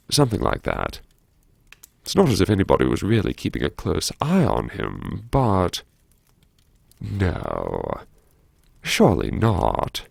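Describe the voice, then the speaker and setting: An actor playing a wry, brooding academic who muses to himself in old-fashioned, theatrical, self-mocking phrases, as in an audiobook drama. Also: male voice, on the low side, vocal fry, a little mellow, no room sound, studio quality, heavily acted. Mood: uneasy musing. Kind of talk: first-person audiobook drama monologue, talking to himself.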